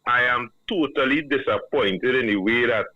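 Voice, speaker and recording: A man with a Trinidadian accent, calling in to a radio show and giving a measured, earnest opinion. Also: mid-range voice, dry recording. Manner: measured, earnest, reasoning aloud. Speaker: caller. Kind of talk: call-in radio commentary. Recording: dull, dry phone line.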